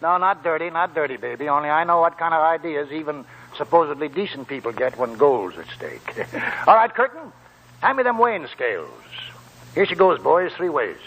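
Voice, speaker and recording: An actor playing a wily, cheerful old gold prospector with a gravelly, cackling voice and folksy, chuckling, drawn-out phrasing in a 1940s radio drama. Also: male voice, a little high, big pitch swings, a bit bright, full character voice, poor audio. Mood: teasing, knowing. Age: older.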